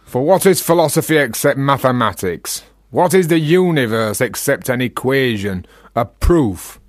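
A man acts a Yorkshire philosopher in a radio drama. He talks in rhetorical flourishes and teases as he provokes, in a mid-pitched voice recorded close and dry. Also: expressive, normal speed, no echo, close to an everyday voice. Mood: teasing, provocative. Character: talkative Yorkshire freethinker, teasing and philosophising. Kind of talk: radio play acting.

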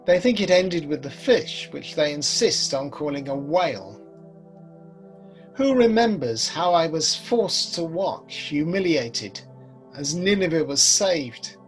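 A British man in his sixties playing a bitter, weary old prophet in a measured, rueful dramatic monologue. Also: a little high, sing-song. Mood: bitter, reflective. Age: 60s. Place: home study, video recording. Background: music.

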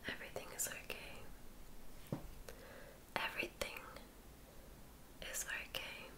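A woman guiding ASMR relaxation in a breathy whisper, soothing and often repeating her phrases. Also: takes it slowly. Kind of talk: ASMR sleep hypnosis.

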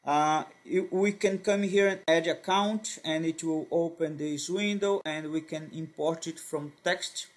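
Man, native Portuguese speaker, slightly high voice, slow deliberate tutorial voice-over, stops and restarts often. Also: fairly even intonation. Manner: slow, halting, with frequent fillers and restarts. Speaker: tutorial presenter. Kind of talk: screen-recorded software tutorial.

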